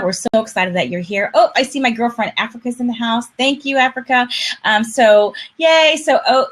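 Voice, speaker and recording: A woman's voice: medium pitch, a bit bright. American woman, eager live-stream host, loose and rambling, video-call sound. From United States. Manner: enthusiastic, rambling with restarts. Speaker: show host. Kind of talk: casual live-stream interview.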